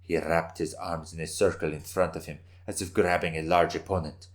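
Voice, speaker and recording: A man's voice: low-pitched, flat delivery, fairly quick. A man giving an intense, theatrical reading of a scripted story as its narrator.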